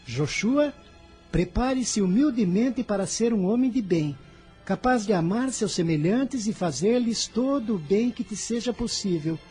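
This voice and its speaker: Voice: medium pitch, animated, warm tone, husky, soft background music. An actor voicing an earnest, kind fifteen-year-old boy in a radio drama, speaking in a solemn, formal way. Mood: solemn, humble. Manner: solemn, measured, formal diction.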